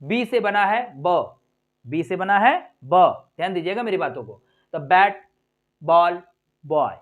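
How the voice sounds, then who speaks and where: A man's voice: high-pitched, lively intonation, lo-fi sound. A man teaching English pronunciation, saying each sound and word over and over and adding a coaxing tag after his points. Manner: slow and drilling, repeats each word many times.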